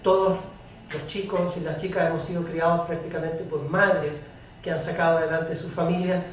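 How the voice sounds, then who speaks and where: Echoey high-voiced man moderating a debate. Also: level tone. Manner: halting, pausing mid-sentence. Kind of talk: moderating a public panel debate. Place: public debate hall with audience. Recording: very echoey hall, distant mic, faint noise.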